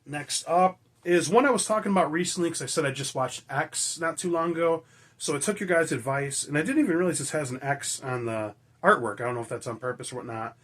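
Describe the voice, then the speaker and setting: A raspy man, hoarse from being sick, from Michigan, chatting enthusiastically and rambling as a movie-collecting vlogger. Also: a little high, fairly quick, fairly bright tone. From Michigan, USA. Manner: enthusiastic, rambling, frequent fillers and mild swearing. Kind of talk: casual chat to camera.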